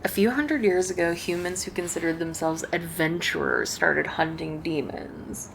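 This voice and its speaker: A woman voicing a friendly, playful and bashful succubus in a casual, chatty, gently teasing ASMR roleplay addressed to the listener. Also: on the low side, normal speed, vocal fry, background noise. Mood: chatty, reminiscing.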